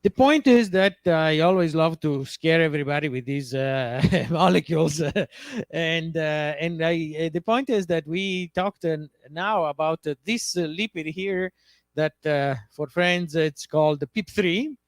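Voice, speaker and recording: A man with an Italian accent delivers a science lecture at a very slow, halting pace, with frequent filler sounds. His voice is fairly high and smooth. The recording is close and dry. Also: dry recording. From Italy. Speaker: visiting professor giving a lecture. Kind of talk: academic lecture.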